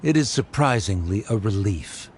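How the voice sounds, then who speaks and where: An actor performs a stern, disdainful man of learning. His pitch moves a lot as he talks, pompous and cutting, in a game scene with faint noise behind. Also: animated, normal speed, lightly acted. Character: haughty, stern male scholar, disdainful. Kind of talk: video game voice acting.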